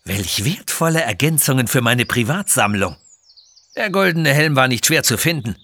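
A man performs a greedy villain lord in an audio storybook, boastful and full of menace. His voice is husky and swings widely in pitch as he gloats pompously. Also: expressive, moderate pace, pushed and bright. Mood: gloating.

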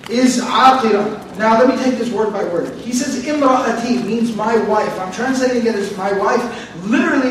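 A man's voice: very high voice, a little hiss or hum. A man teaching a Quran commentary lesson, repeating himself and explaining words one by one, often going off on tangents. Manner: repetitive, explains word by word, digressive. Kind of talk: explanatory lecture. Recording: very echoey room, voice from a distance.

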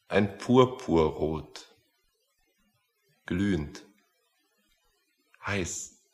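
A stubborn, plain-spoken man in a radio play. His voice sits fairly low and swings widely in pitch. He speaks slowly and deliberately, with courteous, pointed phrasing. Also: gravelly, full character voice. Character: stubborn, unintimidated male petitioner, plain-spoken and philosophical. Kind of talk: German radio play acting.

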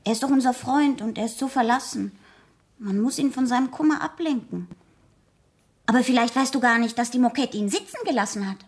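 An actress playing a naive, lively teenage girl in a radio play, chattering eagerly and impulsively. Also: on the high side, brisk, husky. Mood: earnest, impatient. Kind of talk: 1970s radio play acting.

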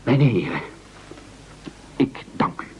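A man's voice: medium pitch, very animated, slow, a little mellow, husky, exaggerated voice. An actor playing a meek, eager man who nobly offers himself up as a sacrifice, stammering and speechifying self-importantly in an absurdist radio play. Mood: noble, elated. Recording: muffled old radio broadcast recording.

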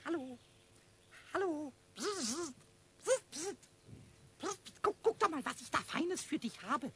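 A tiny male kobold with a high, squeaky voice that swoops wildly. He is childish and boastful and trips over his own words, as in an old children's radio play. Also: measured pace, slight background noise, full character voice. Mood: coaxing. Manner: stammering, repeating words in excitement.

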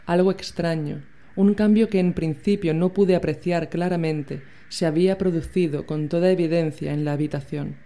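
A woman narrating a dark classic horror story in a measured, grave, deliberate reading. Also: fairly low pitch, steady tone. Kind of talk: scripted dramatic story reading.

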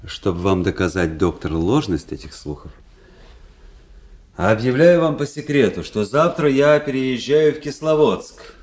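A man's voice: mid-range voice, expressive, a little slow, darkish tone. An actor playing a cool, proud young nobleman officer in a Russian radio drama, speaking with composed, self-assured irony. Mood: dry irony.

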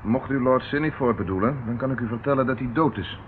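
Actor as a dry police inspector, muffled recording. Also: male voice, medium pitch, lightly acted. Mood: firm, composed. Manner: dry, sardonic, measured. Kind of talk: Dutch radio play acting. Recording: muffled, hissy old radio recording.

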